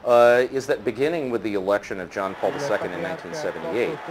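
A man's voice: fairly low pitch, quick pace, a loud crowd, rough recording. Fluent man explaining at length. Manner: fluent and explanatory, with stammered restarts. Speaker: interview guest, Vatican analyst. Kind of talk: conversational analysis. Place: indoor room with lamp and curtains.

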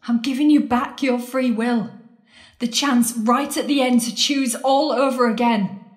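A woman's voice: fairly high pitch, steady tone, a little slow, natural voice. An actress playing a defiant, worldly woman in an audio drama, intense and sardonic as she challenges her lost love. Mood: challenging, pleading. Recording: echoey, roomy sound.

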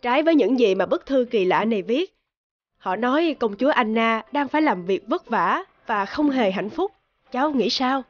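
A woman voicing a kindly fairy-godmother judge in a cartoon, speaking in a measured, gently questioning way. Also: fairly high pitch, animated, muffled recording, natural voice. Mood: gently curious. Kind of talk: animated cartoon dialogue.

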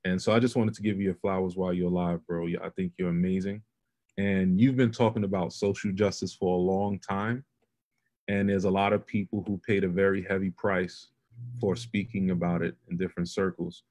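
A man's voice: low voice, fairly even intonation, fairly quick, darkish tone. A man hosting an interview over a video call, earnest and affirming as he asks open questions. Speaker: host. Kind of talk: conversational interview.

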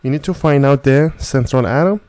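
A man's voice: medium pitch, fairly quick, a little mellow. A man working as a chemistry tutor, narrating a methodical step-by-step tutorial.

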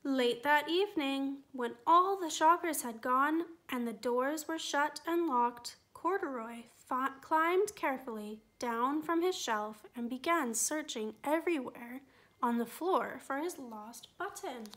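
A woman reading a children's picture book aloud, gentle and expressive. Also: sits high, a little slow. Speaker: storytime reader.

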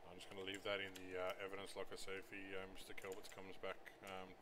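A man with a deep voice chats casually as a police officer in a roleplay game. He talks quickly, breaking off to search for words, in a matey register. The voice chat has clear background noise. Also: monotone. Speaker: player acting as a police chief in an online roleplay. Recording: noisy gaming voice chat.

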